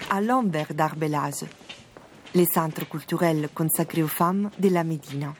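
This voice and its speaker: A woman from southern Italy, narrating a documentary in a calm, measured, reflective way. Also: sits low. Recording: noisy recording.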